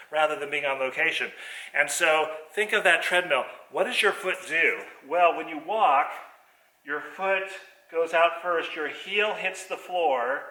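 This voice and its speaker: A man's voice: a little high, sharp tone. A man giving a hands-on theatre lesson, explaining movements step by step. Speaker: theatre teacher. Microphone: distant computer microphone on a video call. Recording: echoey theater, voice far from microphone.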